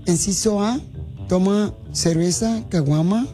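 A man's voice: high voice, measured pace, mellow, faint music behind. A man hosting a radio prank call, playing a mock-serious interviewer with a teasing edge.

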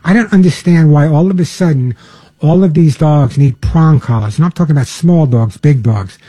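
Older male radio host, mellow dark tone, slightly husky, dry close sound, chatty. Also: on the high side, normal speed, dry recording. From New York. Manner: chatty, repeats words, earnest pleading. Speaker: radio pet show host. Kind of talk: casual talk radio monologue.

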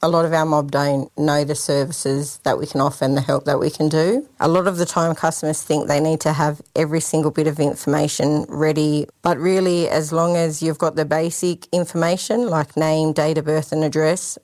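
Outreach worker, female, from Sydney: very low voice, casual and direct. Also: flat delivery, moderate pace, few pauses, darkish tone, no room sound. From Sydney, Australia. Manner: plain-spoken, informal. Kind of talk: radio interview answers.

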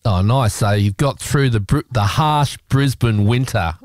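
A man's voice: mid-range voice, unhurried, no room sound, studio quality. A man with an Australian accent hosting a community radio show, chatting loosely with lots of fillers and restarts. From South Australia. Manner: rambling, chatty, with many fillers. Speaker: radio host. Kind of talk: casual community radio chat.

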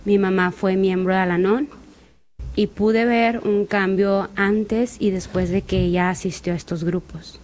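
A woman's voice: medium pitch, fairly even intonation, measured pace, darkish tone. A woman giving a reflective, heartfelt personal testimony as a guest on a discussion panel. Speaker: Al-Anon member, guest on panel.

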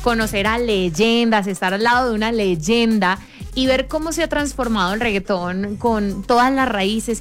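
A woman interviewing a guest, searching for her words as she builds her questions. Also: a little high.

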